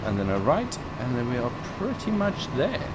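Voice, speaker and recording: A man's voice: medium pitch, wildly swooping pitch, normal speed, heavy background noise. A man who is not a native English speaker gives casual gameplay commentary as a let's play host, thinking aloud with playful asides.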